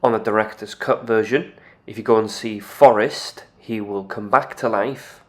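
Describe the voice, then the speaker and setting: A man's voice: lowish voice, fairly even intonation, moderate pace, a little hiss or hum. A man giving scripted, explanatory video game commentary in a matter-of-fact manner. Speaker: video game analyst narrator.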